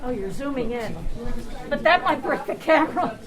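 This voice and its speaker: A woman's voice: high-pitched, expressive, unhurried, heavy background noise. A woman speaking casually to a camera at a club gathering in a large room. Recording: loud crowd chatter in large room. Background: loud crowd chatter.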